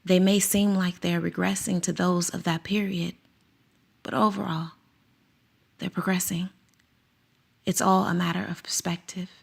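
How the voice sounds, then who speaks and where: A woman's voice: lowish voice, creaky, husky, exaggerated voice. An actress playing a conflicted woman teacher who spies for someone, narrating in first person in a radio drama with an anxious, reflective manner. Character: conflicted woman teacher, a circus school spy. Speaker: narrator and lead character.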